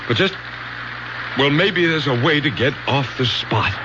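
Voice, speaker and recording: A man's voice: on the high side, animated, a little slow. A man playing a tired, testy, controlling middle-aged father in a radio drama. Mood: urgent, controlling. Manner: stammering, then clipped and commanding. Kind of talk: 1970s radio drama acting. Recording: dull, slightly noisy old radio recording.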